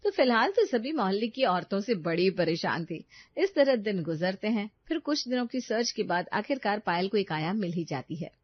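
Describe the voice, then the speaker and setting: A woman's voice: mid-range voice, very animated, moderate pace, slightly rough, muffled recording. A woman reading scripted narration for a story as its narrator.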